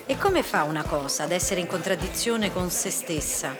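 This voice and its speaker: A dry, irritated hostess played by a woman speaks in a fairly low voice. She argues and confides in radio drama style, with music clearly audible behind her. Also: animated, normal speed, natural voice. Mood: argumentative, sardonic. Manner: dry, argumentative. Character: Maria, dry, irritated, argumentative woman hosting a party. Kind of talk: radio drama acting with inner monologue.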